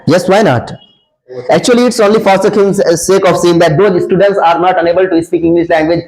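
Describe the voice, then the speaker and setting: A young man from India speaks English with an Indian accent, his voice sitting high. He gives earnest advice to fellow students in a classroom, filling pauses with "uh" and often starting his sentences over. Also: fairly even intonation, gravelly, a little mellow, low-quality recording. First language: Hindi. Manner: earnest advice with many fillers and restarts. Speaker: senior student. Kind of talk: answering audience questions.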